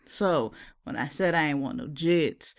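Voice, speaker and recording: This is a podcast co-host from Jamaica with no accent, chatting in a lively and casual way. They talk in quick bursts, slip into slang and keep the conversation moving. Their voice sits at a middle pitch. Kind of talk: casual chat.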